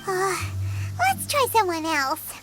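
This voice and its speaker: A tiny, childlike fairy companion from a video game, squeaky and very high. She swoops all over in pitch, chirpy and excitable. Loud music plays behind her. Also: takes it slowly, full character voice. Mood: eager, chirpy. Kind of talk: English video game dub.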